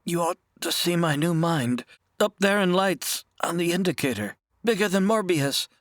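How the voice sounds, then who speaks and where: An actor playing a dazed, dying doctor straining to speak, halting and dramatic in a retro radio drama parody. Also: male voice, high-pitched, animated, a bit bright, dry recording, clean studio sound. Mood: dazed, urgent.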